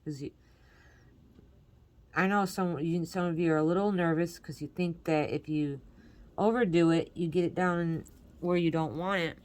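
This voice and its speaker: A woman's voice: on the low side, fairly even intonation, moderate pace, pauses often, a little mellow. A woman from Michigan hosting a crafting tutorial, chatting and encouraging viewers while she works, her voice congested from allergies. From Michigan, USA. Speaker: crafting channel host. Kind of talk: casual crafting tutorial chat.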